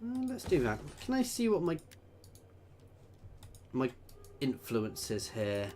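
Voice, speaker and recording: A man's voice: medium pitch, wildly swooping pitch, slow, a little mellow, a little hiss or hum. A man giving casual commentary while playing a strategy game, thinking aloud and chatting with viewers. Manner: chatty, thinking aloud, reads out chat messages. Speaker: gameplay video creator.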